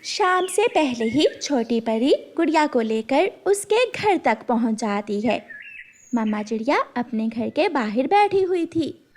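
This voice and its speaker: A woman's voice: high-pitched, big pitch swings, a little slow. A woman narrating a scripted children's story.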